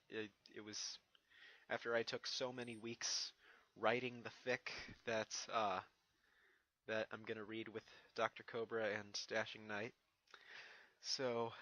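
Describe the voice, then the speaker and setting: A man with a mid-pitched voice gives a casual update to his channel followers. He rambles and stammers, hesitating between phrases, in a dry recording. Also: sharp tone, no room sound. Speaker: fan fiction reader and online creator.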